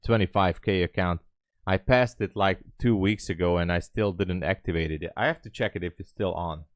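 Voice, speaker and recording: A man with a Hungarian accent and a fairly low voice talks casually to his livestream viewers. He rambles and thinks aloud as he goes. The sound is dry, with very little room echo. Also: normal speed. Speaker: live trading streamer.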